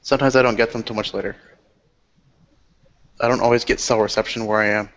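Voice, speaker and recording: A man's voice: medium pitch, fairly even intonation, fast, a bit bright. A man speaking as his character in a tabletop roleplaying session, laid-back and dry in his replies. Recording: distant muffled lo-fi recording.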